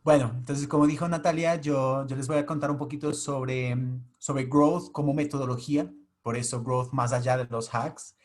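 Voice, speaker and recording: A man's voice: mid-range voice, steady tone, normal speed, few pauses, darkish tone. A man in his thirties, a native Portuguese speaker with a Portuguese accent, giving an explanatory webinar talk to an audience over a video call. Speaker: guest speaker. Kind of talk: webinar presentation.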